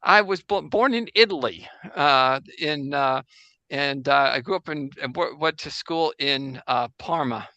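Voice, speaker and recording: Man as old Jesuit stargazer, gravelly, bright edge, halting restarts, dry video-call sound. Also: medium pitch, dry recording, muffled recording. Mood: wry. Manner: halting, full of restarts and fillers, joking anachronisms. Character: 17th-century Jesuit astronomer priest, man, very old, casual. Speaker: guest in role-play. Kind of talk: improvised in-character interview on a video call.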